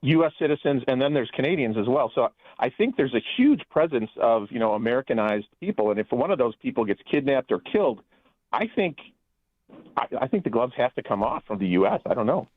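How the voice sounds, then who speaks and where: A man's voice: mid-range voice, sing-song, a little fast, no echo. A man calls into a radio show, his voice squeezed thin by the phone line. He rambles and restarts his sentences, filling gaps with "you know" and "um". The sound is dry, with no room around it. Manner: rambling, many restarts and fillers. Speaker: guest.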